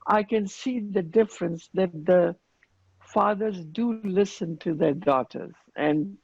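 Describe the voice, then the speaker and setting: An earnest woman speaks slowly, with a gravelly, fry-tinged voice. Her answers come with frequent pauses and fillers. The sound is dry and muffled, like lo-fi video call audio. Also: on the low side, darkish tone, no room sound. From Afghanistan. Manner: earnest, unhurried, many fillers. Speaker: panelist running girls' schools. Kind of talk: panel discussion answer.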